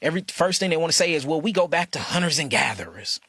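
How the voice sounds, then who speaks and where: A man's voice: on the high side, sing-song, fast talker, pushed and bright, breathy edge, dry recording. A man giving an impassioned, preachy monologue, repeating his phrases insistently. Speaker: speaker.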